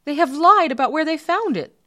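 A woman acts out a storybook court doctor, an indignant man. He is sharp and accusing, pitched up and loud, recorded dry. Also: expressive, brisk, no echo.

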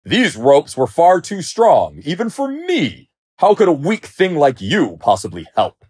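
A man's voice: lowish voice, huge pitch swings, unhurried, slightly rough, exaggerated voice. Man voicing a haughty, growling storybook lion. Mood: angry disbelief. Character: proud, scornful, bullying big male lion. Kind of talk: storybook character voice.

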